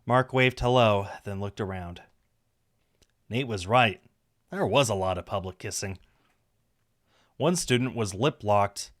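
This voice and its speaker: A man's voice: on the low side, unhurried, long pauses. A man reading a visual novel aloud on a stream, narrating the story with casual asides.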